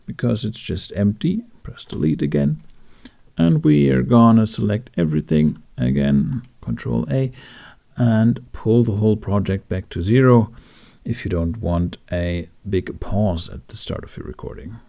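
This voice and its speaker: A man talking through a software tutorial in a casual, informal way with wry asides. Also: fairly low pitch, creaky. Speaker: tutorial host.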